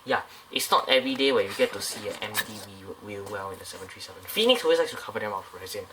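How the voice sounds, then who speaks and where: A man's voice: lowish voice, expressive, racing, background noise. A man casually reviewing a scale model airliner up close, talking in a loose, rambling way with many fillers and restarts.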